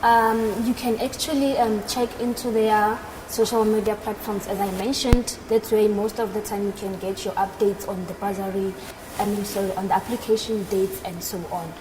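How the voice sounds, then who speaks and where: A young woman with a South African accent gives explanatory answers as an interview guest. Her voice sits at a middle pitch. There is clear noise in the background of the recording. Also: fairly even intonation, moderate pace. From South Africa, Northern Cape. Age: 20s. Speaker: interview guest, aircraft maintenance engineer. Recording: noticeable background noise at live event.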